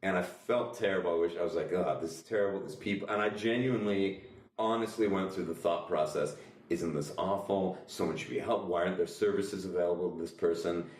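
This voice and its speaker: A man's voice: low-pitched, a little fast, far from the mic. A man co-hosting a podcast, telling stories in casual comedic banter with wry, wordy, mock-formal phrasing. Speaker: podcast co-host. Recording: echoey room, off the mic.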